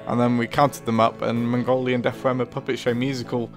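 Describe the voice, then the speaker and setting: A man's voice: medium pitch, flat delivery, brisk, music in the background. A man giving casual behind-the-scenes commentary as a co-host, chuckly and hesitant in manner. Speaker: co-host, writer and puppeteer.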